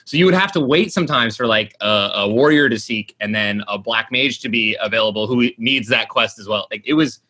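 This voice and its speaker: A man's voice: mid-range voice, brisk, few pauses, sharp tone. A man in his late twenties chatting casually, rambling with lots of fillers and restarts. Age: 20s. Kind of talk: casual chat.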